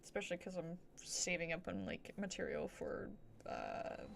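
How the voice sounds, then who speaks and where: A woman's voice: on the low side, very animated, normal speed, vocal fry, a little hiss or hum. A woman livestreamer giving casual gameplay commentary with playful, offhand short reactions. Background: game music.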